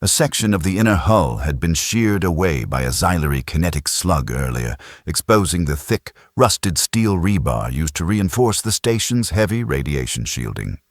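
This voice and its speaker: An extremely deep male narrator reads dramatic science fiction with grave suspense. His husky voice moves expressively up and down, recorded close and dry. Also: no echo. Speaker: audiobook narrator. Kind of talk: dramatic scripted sci-fi narration.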